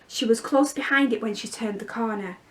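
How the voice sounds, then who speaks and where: A woman reading a children's story aloud in an expressive, dramatic way, with hushed asides. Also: fairly high pitch, fairly quick.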